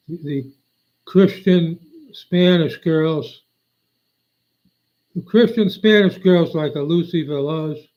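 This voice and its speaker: An older man rambling on a live stream, meandering and aggrieved, often losing his train of thought. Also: on the high side. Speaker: livestreamer. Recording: dull lo-fi audio.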